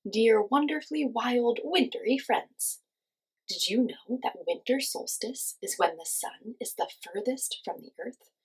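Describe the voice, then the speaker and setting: A woman teaching young children, giving simple encouraging instructions and asking questions, with pauses for answers. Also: a little high, slightly rough, rough recording. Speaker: children's class teacher and host. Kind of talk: children's lesson with guided movement.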